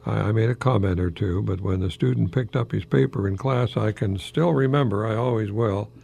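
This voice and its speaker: A man's voice: fairly low pitch, fairly quick, vocal fry, mellow, husky. An older man, a retired English professor hosting a folksy radio monologue, musing hesitantly with rhetorical questions and repeated phrases.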